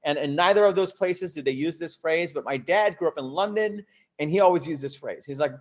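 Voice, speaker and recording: Higher-pitched man coaching on a livestream. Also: brisk. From Bangladesh until ten, then the US. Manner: conversational, emphatic, often checks in with rhetorical questions. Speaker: host, startup coach. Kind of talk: live online coaching talk to an audience.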